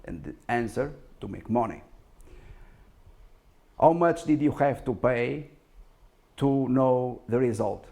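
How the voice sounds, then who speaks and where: A man who is not a native English speaker giving self-promotional stock market commentary, speaking in a halting but emphatic, self-assured way. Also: mid-range voice, vocal fry, warm tone. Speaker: stock market analyst and presenter.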